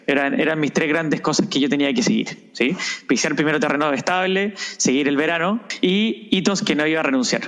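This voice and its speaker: A man's voice: fairly high pitch, a bit bright. A man with Spanish as his first language, guest on an interview podcast, speaking reflectively with frequent restarts and tag questions. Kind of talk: conversational interview.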